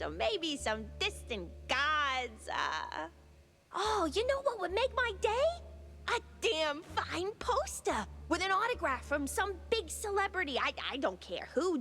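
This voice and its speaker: High-pitched sassy mouse tinkerer. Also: female voice, exaggerated voice. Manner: teasing, expressive. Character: sassy young female mouse workshop tinkerer, starstruck, quick-witted.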